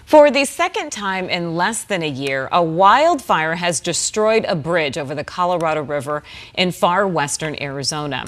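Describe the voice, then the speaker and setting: A woman anchoring an evening newscast, reading stories in a brisk, steady way. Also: on the low side. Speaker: news anchor.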